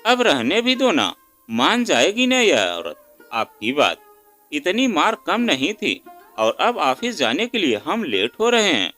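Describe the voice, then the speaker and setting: A man's voice: fairly high pitch, huge pitch swings, slow, sharp tone, faint music behind, exaggerated voice. A man putting on a harsh, sneering young nurse. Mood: impatient. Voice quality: harsh, grating. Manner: scornful, sneering.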